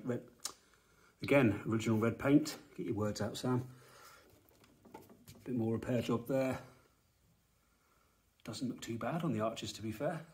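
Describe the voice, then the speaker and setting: Man, forties, from England, dry and matter-of-fact, talking over a handheld camera in a garage. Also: mid-range voice. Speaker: car restorer, channel host. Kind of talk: walkaround commentary while filming. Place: indoor garage workshop.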